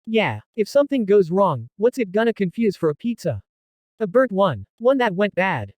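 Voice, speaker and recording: An excitable teenage boy, voiced by a man, with a high, very lively voice. He talks in carefree, eager slang, acted for a visual novel and recorded dry. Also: moderate pace, dry recording. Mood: pushy, casual. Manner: animated, slangy, casual.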